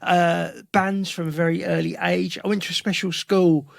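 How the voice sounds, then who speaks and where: A middle-aged man with a London accent talks casually as an interview guest, wandering through anecdotes. His voice sits a little high for a man and has a creaky, gravelly edge. The recording is close and dry. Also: little pitch movement, normal speed, no room sound. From London, England. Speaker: guest musician.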